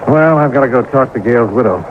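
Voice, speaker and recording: An American actor playing a brisk, clipped insurance investigator in a 1950s radio detective drama. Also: male voice, mid-range voice, expressive, noisy background, natural voice. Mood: probing. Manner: clipped, matter-of-fact. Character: brisk hard-boiled male insurance investigator. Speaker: narrator and lead investigator. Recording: tinny old radio recording, music behind.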